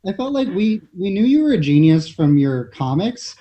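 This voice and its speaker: A man's voice: high-pitched, very animated, moderate pace, background noise. A man chatting casually as a panelist, informal and loosely phrased. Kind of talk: casual chat on an online panel.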